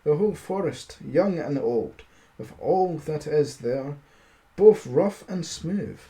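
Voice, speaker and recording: Man playing a boastful little tailor, slow and emphatic. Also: a little high, lively intonation, mellow.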